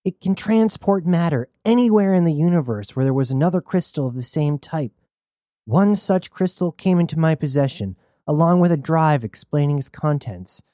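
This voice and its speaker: A narrator doing a jittery captive hacker. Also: male voice, on the high side, warm tone, no room sound, clean studio sound, pitched above the performer's own voice, close to an everyday voice. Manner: nervous, rapid chattering. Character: nervous captive male hacker.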